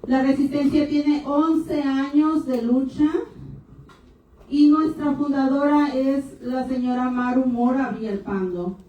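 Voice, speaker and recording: A woman speaking at a panel event, talking in short bursts with hesitations and pausing for her interpreter. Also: sits high, steady tone, dark tone. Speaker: panel speaker for an immigrant rights group. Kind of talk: public talk in Spanish, paused for interpretation. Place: university hall event. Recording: echoey hall, distant mic, faint noise.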